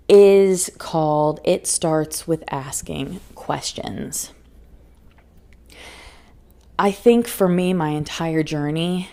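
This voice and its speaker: A woman's voice: sits low, mellow. A woman hosting a solo spiritual self-help podcast, speaking earnestly and emphatically, often laughing and repeating phrases for emphasis. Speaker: podcast host and life coach. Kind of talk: solo motivational podcast talk.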